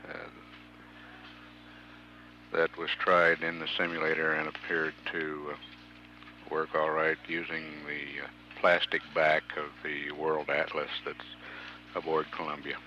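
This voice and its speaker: Deep, slow-spoken man with mission commentary. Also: completely flat delivery, bright, forceful tone, slight background noise. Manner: halting, many hesitations. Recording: dull archival broadcast audio.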